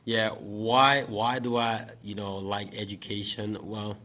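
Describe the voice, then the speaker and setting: A man in his late twenties from Liberia, speaking as an interview guest. He talks about teaching in a relaxed, reflective way, with many fillers. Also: lowish voice, takes it slowly. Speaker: guest, special education math teacher. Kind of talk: casual interview talk.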